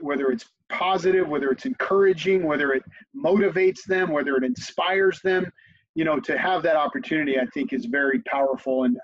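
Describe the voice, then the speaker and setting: A man's voice: fairly high pitch, slightly rough. A man in his forties, a company CEO and guest, talking reflectively on a video call and often repeating words as he thinks. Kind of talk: conversational interview. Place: home office on a video call. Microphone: video call webcam. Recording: dull, lo-fi video call audio.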